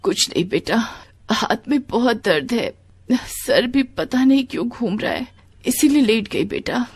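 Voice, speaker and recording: A woman playing a devout, long-suffering village mother, gentle but firm and plaintive, in a devotional storybook tale. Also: on the high side, expressive, unhurried, husky, full character voice. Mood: weary, tender. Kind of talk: devotional moral storybook dialogue.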